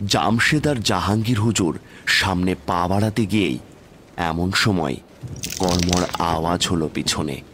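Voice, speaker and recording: A man's voice: low voice, noisy background. A man narrating a scripted horror story in the first person, with dramatic, suspenseful delivery. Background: background music.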